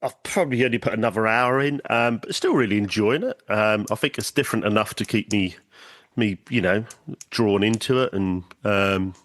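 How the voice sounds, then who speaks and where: A man's voice: on the low side, moderate pace, fairly bright tone. A man, stuffy from a cold, chatting hesitantly as a podcast guest with lots of ums and restarts. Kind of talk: casual chat.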